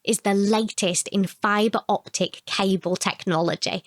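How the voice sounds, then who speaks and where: A woman voicing a calm, polite repairman who explains things patiently in a short story. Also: medium pitch, unhurried, no echo, clean studio sound, close to an everyday voice. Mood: friendly, explanatory. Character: calm, polite, friendly repairman, confident in his work.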